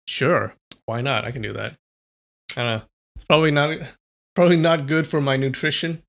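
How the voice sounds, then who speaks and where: A man's voice: medium pitch, normal speed, vocal fry. A young man from California reading a novel aloud on a livestream, chatting casually with viewers between passages.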